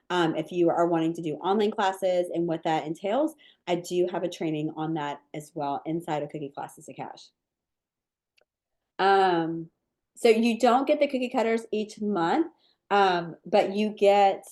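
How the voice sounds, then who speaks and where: Woman, low voice, cookie class teacher, live Q&A, casual, lots of ums, friendly chat. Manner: relaxed, chatty, many ums and likes. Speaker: cookie decorating teacher answering viewer questions. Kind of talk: live webinar Q&A.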